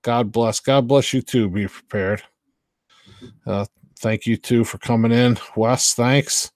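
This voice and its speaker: A man's voice: medium pitch, steady tone, slow pace, no room sound. Man chatting off the cuff, close and dry. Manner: hesitant, unpolished, trailing off. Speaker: live stream host. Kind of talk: casual rambling chat with viewers.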